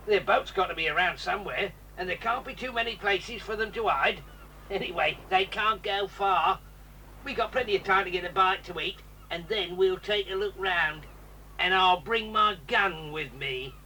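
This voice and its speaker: A man's voice: high-pitched, normal speed, bright, forceful tone, far from the mic, a little hiss or hum, poor audio. A man playing a blustering, threatening father who is a villain in a 1980s audio drama, recorded on old tape a little back from the mic. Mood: scheming. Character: gruff menacing father, Mr Stick, armed.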